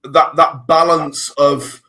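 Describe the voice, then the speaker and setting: A man's voice: fairly high pitch, steady tone, slow, bright, forceful tone, soft background music. A man chatting casually as a podcast guest, rambling and repeating words as he searches for his phrasing. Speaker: interview guest, filmmaker and audio drama writer.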